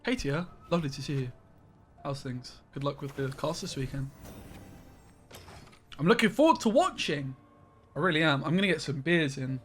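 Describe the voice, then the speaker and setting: A young man rambling through a live game stream from his bedroom, his voice sitting a touch high. He greets chat by name in a loose, chatty way, with faint game music underneath. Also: very animated, normal speed, long pauses, fairly bright tone.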